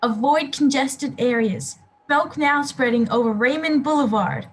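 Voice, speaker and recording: A woman's voice: sits high, measured pace. A woman playing an official announcer reading out evacuation warnings in 1930s radio play style. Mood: urgent.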